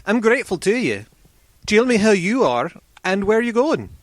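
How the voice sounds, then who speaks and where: A man doing a brisk, sharp axe-man. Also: sits high, very animated, no room sound, voice pushed up. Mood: hearty.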